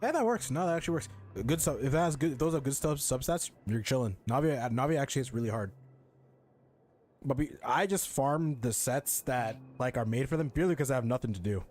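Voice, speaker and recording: A man's voice: mid-range voice, animated, a little fast, a little mellow. Casual, slangy young man streaming. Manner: slangy, rambling, joking. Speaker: video game streamer. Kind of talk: casual gameplay commentary and chat.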